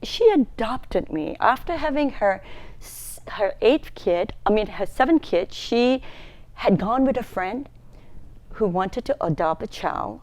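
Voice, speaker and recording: A woman's voice: on the high side, animated, measured pace, darkish tone. Chatty woman from Malaysia. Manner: chatty, laughs often while telling stories. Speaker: guest, charity founder. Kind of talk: casual interview storytelling. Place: local TV studio.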